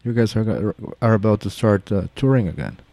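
Casting: male interviewer, lowish and relaxed, agreeable, halting casual questions. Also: dry recording. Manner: hesitant questions, affirming interjections.

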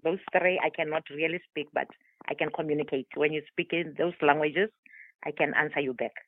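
A South African woman with a lowish voice tells her life story slowly and deliberately. Her first language is Tswana. She comes through a dry, tinny phone line. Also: fairly even intonation, no echo. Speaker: guest author. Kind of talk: remote interview, telling her life story.

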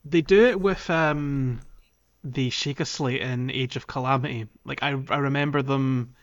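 A man's voice: mid-range voice, steady tone, moderate pace, lo-fi sound. A man hosting a podcast, casually chatting about video games. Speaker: podcast host. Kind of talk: casual discussion of a video game.

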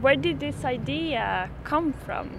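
A woman interviewing a courier, asking prepared questions in a measured way. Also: high-pitched, expressive, gravelly, background noise.

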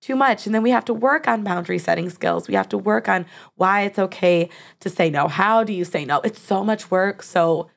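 A woman's voice: mid-range voice, normal speed, creaky, few pauses. A woman therapist co-hosting a casual discussion, speaking frankly and earnestly and stopping to clarify her points for listeners. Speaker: therapist and co-host.

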